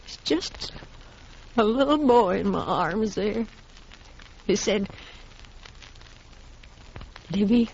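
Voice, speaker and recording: A frontier widow, stubborn and worn by grief. She speaks very slowly and haltingly in a husky voice, on a muffled old radio western recording. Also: mid-range voice, darkish tone, full character voice. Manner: halting, plain rural speech. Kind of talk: 1950s radio western acting.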